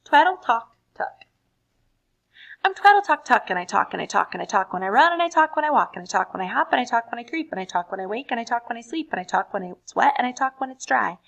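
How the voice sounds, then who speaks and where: Woman as storybook chatterbox, exuberant, loud rhythmic patter, lively swooping pitch, bouncy. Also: fast talker, vocal fry, full character voice. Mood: excited. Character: exuberant nonstop chatterbox of a children's poem.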